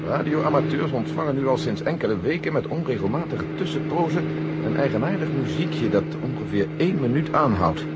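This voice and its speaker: An actor playing a brisk, gruff army general with a clipped, commanding manner in a 1970 radio drama. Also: male voice, medium pitch, lightly acted. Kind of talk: 1970 Dutch science-fiction radio drama acting. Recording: loud engine noise behind the voice. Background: helicopter engine drone.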